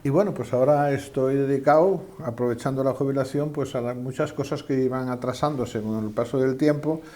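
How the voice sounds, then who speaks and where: A man's voice: mid-range voice, a little fast, dark tone. An older retired man from Asturias, a guest in an interview, who talks in a modest, hesitant way and makes light of himself. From Asturias, Spain. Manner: modest, hesitant, self-deprecating. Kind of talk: conversational interview.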